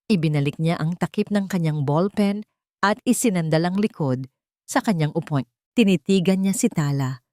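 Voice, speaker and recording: A Filipino woman narrates a dramatic scripted story in a fairly low voice. The recording is dry and close, with very little room sound. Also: darkish tone, no room sound.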